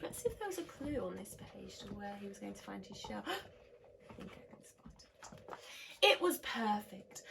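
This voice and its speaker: A teacher-voiced woman reading a bedtime picture book, her melody swinging widely as she slows for effect. Soft, hushed asides to her young listeners sit over a steady background hiss. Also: mid-range voice. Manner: animated and expressive, with soft asides. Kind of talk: picture-book read-aloud to children.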